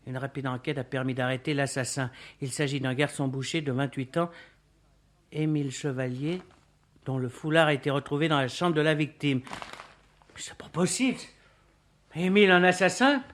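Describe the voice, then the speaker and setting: An actor as a loyal, slangy working-class man in a radio drama. His voice sits a little high, and he talks in colloquial exclamations. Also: fairly bright tone, natural voice. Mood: reading, shocked. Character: good-natured, slangy working-class sidekick, loyal and excitable. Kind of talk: French radio-play acting.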